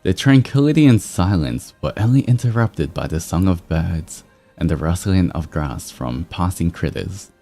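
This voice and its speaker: A man with a low, mellow voice hosts a stream and reads stories aloud. He takes his time, and his pitch moves around in a lively, amused way. Music plays behind him. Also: moderate pace. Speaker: livestream host reading fan fiction aloud. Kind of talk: expressive story reading mixed with casual chat.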